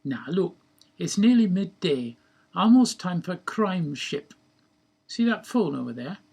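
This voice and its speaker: A man's voice: high voice, sing-song, measured pace, gravelly, a little mellow, husky, full character voice. A man voicing a sceptical, pedantic friend in a story, questioning in a dry, wordy, precise way.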